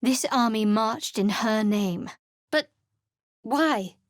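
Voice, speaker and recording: A woman playing a guarded, wry, secretive young cleric adventurer in a fantasy video game, with a cool, measured, sardonic delivery. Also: a little high, no room sound, clean studio sound. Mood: measured, hushed.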